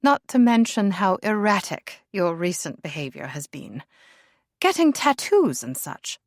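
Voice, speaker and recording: A woman's voice: medium pitch, very animated, measured pace, dry recording, studio quality. A woman voicing a haughty young royal princess, cold and clipped in her snobbery. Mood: disdainful.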